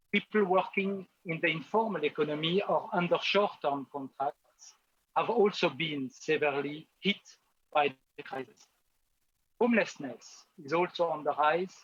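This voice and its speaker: A man with a voice delivering a formal, measured official speech with occasional hesitations. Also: a little high, fairly bright tone, slightly rough, dry recording, dull audio. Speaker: official speaker.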